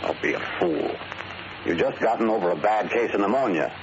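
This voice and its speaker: An actor playing a concerned, exasperated prison warden, insistent and paternal in 1940s radio drama style. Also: male voice, lowish voice, brisk, natural voice. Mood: concerned urging. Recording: muffled, noisy old radio recording.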